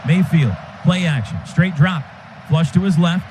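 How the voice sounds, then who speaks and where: A man doing live radio play-by-play of a football game, quick clipped calls that build to shouts on big plays. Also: on the high side. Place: stadium radio booth. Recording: radio broadcast with loud crowd noise.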